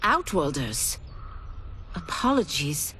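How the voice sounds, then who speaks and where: A woman's voice: sits low, very animated, takes it slowly, noisy background, heavily acted. A woman playing a stern demon who seeks redemption in a video game, speaking formally and solemnly. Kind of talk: video game cutscene acting. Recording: game music underneath.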